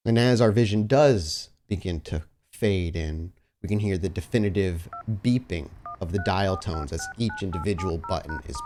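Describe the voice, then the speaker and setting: A man's voice: low-pitched, a little mellow. A man speaking casually as host and game master of a tabletop roleplaying podcast, chatting and setting scenes. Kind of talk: casual chat moving into improvised scene narration.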